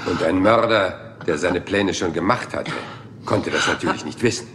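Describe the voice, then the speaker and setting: A man's voice: low-pitched, normal speed, background noise, close to an everyday voice. An actor playing a rumpled, polite police inspector in a crime radio play, apologetic and roundabout in his questions. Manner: apologetic, roundabout, mild and persistent.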